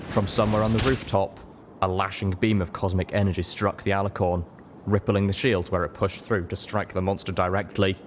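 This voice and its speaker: Low-voiced man narrating an adventure story. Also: level tone, a little fast, a little hiss or hum. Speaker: audiobook reader. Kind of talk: dramatic scripted story narration.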